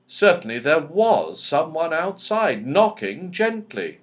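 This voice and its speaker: Unhurried, expressive storytelling man. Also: fairly high pitch. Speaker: audiobook reader. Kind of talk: expressive children's story narration.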